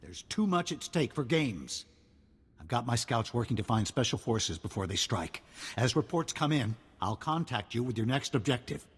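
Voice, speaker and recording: Husky, commanding war general. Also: male voice, medium pitch, big pitch swings, normal speed, big-room sound. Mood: grave, urgent. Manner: commanding, urgent, terse. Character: grim, determined male army general in wartime. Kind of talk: video game voice acting. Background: game music.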